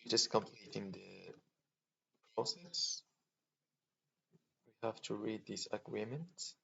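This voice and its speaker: A man from Poland hosting a casual screen-recorded tutorial, thinking aloud in a halting way as he clicks through steps. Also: on the low side, animated, gravelly, fairly bright tone, husky. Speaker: tutorial host. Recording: dull lo-fi mic with faint noise.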